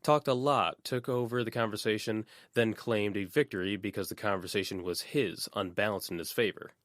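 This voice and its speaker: Teenage girl voiced by a man, guarded, composed, measured, quietly confident, audiobook character, dry recording. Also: lowish voice, no echo, voice pushed up, lightly acted. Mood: cool, firm.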